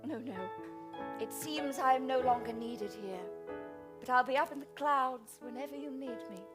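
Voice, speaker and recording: A woman's voice: high voice, moderate pace, natural voice. A woman playing a kindly, encouraging fairy godmother in an improvised stage pantomime. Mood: modest farewell. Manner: encouraging, gentle advice-giving. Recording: music behind.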